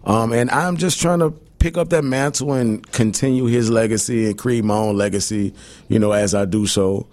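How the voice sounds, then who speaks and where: A man, a musician and Big Chief, talking in a relaxed, humble and thoughtful way in a radio interview, with many fillers. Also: medium pitch, normal speed, no room sound. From New Orleans. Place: radio studio.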